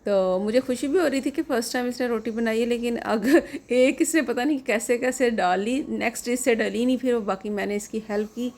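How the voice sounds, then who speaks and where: A middle-aged woman from Pakistan talks through a casual home vlog in her kitchen, chatty and homely as she explains things to her viewers. Her throat is sore, so her voice roughens now and then. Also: on the high side, brisk, hardly any pauses, darkish tone. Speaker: vlogger.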